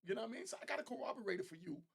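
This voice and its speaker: A man with a slightly high voice and noticeable vocal fry hosts a casual commentary show. He speaks in conversational street slang and gives opinionated takes. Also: expressive, racing. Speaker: host of an online commentary show.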